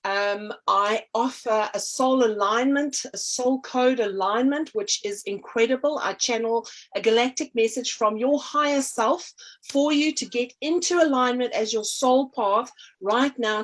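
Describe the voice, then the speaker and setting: A middle-aged South African woman talking casually in an interview, meandering with many ums and restarts. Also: fairly high pitch. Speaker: energy healer and artist, guest.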